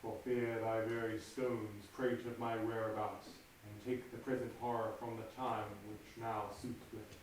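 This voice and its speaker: An actor playing a guilt-ridden Scottish lord, declaiming Shakespearean verse on stage, recorded from far off in an echoey hall. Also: male voice, very high voice, moderate pace, a little hiss or hum. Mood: hushed, brooding. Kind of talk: stage play performance. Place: theatre stage in a large hall. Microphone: distant room mic.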